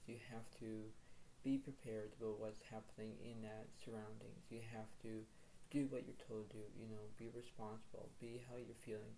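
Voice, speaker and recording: A man giving a casual oracle card reading in a rambling, repetitive way, full of "you know" fillers. He says his voice is low today. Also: warm tone, a little hiss or hum, low-quality recording.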